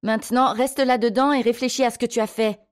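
Stern, furious woman scolding children, as voiced by a female narrator, raised pitch, commanding, audiobook drama. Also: fairly even intonation, moderate pace, natural voice. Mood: angry, commanding. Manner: sharp, commanding shouting.

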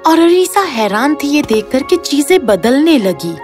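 A woman's voice: sits high, sing-song, measured pace, darkish tone. A woman narrating a scripted fairy tale as a storyteller. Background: background music.

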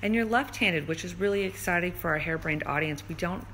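A woman's voice: fairly low pitch, fairly quick, fairly bright tone, slight background noise. A woman hosting a casual live stream, putting questions to a guest. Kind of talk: casual live interview, relaying viewer questions. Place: indoors in a hair salon.

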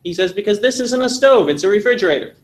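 A man from Texas in his forties, giving an animated classroom lecture and telling jokes in a seminary meeting room. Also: sits high, quick pace, very noisy. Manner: animated storytelling with jokes, gesturing. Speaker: seminar instructor.

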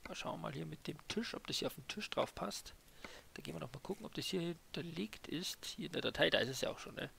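Man, gravelly voice, casual gameplay commentary, truck engine noise behind. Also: fairly high pitch, lively intonation, quick pace, bright, forceful tone. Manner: chatty, many fillers and tag questions. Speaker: gaming YouTuber presenting a mod. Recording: noisy game sound behind voice. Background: truck engine and game ambience.

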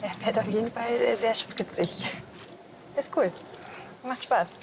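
A woman's voice: on the high side, a little slow, background noise. A young woman speaking casually and upbeat while driving, recorded a bit distant. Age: young adult. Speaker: test driver. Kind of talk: on-location interview. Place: inside a car.

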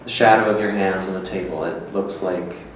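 A man acts out a guarded, melancholy man in a staged play reading. His voice is low and brooding. It is picked up from a distance in a very echoey room. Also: steady tone, moderate pace, natural voice. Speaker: performer reading a script. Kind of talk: staged reading of a literary dialogue. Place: small gallery room before an audience. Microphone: stand microphone, heard from a distant camera. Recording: echoey room, distant mic, faint noise.

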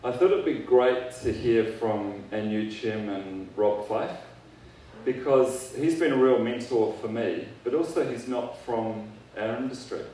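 A man's voice: mid-range voice, unhurried, warm tone. New man speaking in an echoey hall. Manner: casual, self-deprecating, joking asides. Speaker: keynote speaker, company founder. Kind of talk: keynote speech. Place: large event hall on stage. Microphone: handheld mic. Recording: echoey hall, distant mic, faint noise.

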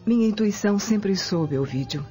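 An actress playing a hesitant, gently knowing Roman wife in a radio drama, speaking formally. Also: female voice, mid-range voice, animated, normal speed, faint music behind, lightly acted.

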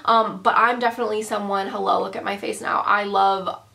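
A woman's voice: medium pitch, level tone, gravelly, bright, forceful tone. Congested young woman reviewing makeup. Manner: chatty, self-deprecating, quick asides. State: congested from a cold. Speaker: beauty vlogger. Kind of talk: casual product review to camera. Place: bedroom vanity, indoors.

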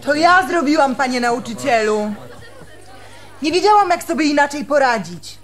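An actress playing an earnest young fiancée in a radio drama, explaining herself apologetically. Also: female voice, high-pitched, lively intonation, normal speed, soft background music, a faint crowd. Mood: apologetic, earnest. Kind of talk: Polish radio drama acting.

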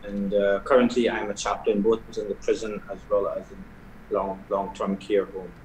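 Man, middle-aged, from guyana, lower voice, roomy echoey call audio. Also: flat delivery, slight background noise. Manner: measured, soft-spoken with hesitations. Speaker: prison chaplain. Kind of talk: interview answers. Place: video call from an indoor hall. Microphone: video call.

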